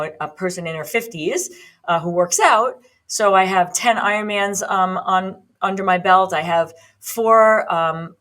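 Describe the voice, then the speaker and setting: A woman's voice: lowish voice, a bit bright. A woman in her late fifties hosting a podcast as a fitness trainer, talking in a rambling, chatty way with frequent ums and restarts. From United States. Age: 50s. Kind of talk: solo podcast talk.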